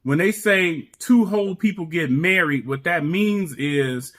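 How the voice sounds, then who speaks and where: Married guy cohost, higher bright voice, preachy and emphatic, keeps repeating "and, and". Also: unhurried. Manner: preachy, emphatic, repeats words when starting. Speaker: co-host. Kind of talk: casual chat about marriage.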